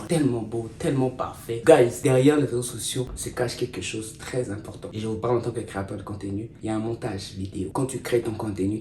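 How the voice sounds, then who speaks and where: A man's voice: medium pitch, dark tone. A man from Congo, giving a motivational talk to viewers as a content creator, stressing points emphatically. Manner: emphatic, addresses viewers directly as "guys", repeats for stress. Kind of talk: motivational self-help talk. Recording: roomy and distant with faint noise.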